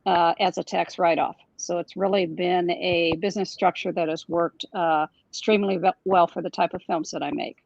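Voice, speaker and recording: A woman filmmaker speaking on a panel, measured and slow with fillers. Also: lowish voice, steady tone, vocal fry, a little mellow, no echo. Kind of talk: panel discussion answer. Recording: dull, dry lo-fi audio.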